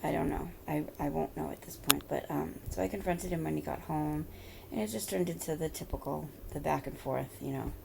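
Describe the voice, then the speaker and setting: Hoarse, gravelly low-voiced woman. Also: background noise. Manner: halting, rambling, many fillers. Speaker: vlogger. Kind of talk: personal confessional vlog. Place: at home.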